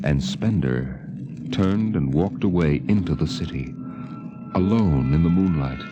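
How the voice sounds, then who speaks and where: A man's voice: very deep voice, expressive, a little slow, muffled recording. A man narrating a 1950s radio drama in a solemn, lyrical, dramatic manner. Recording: old radio recording with music.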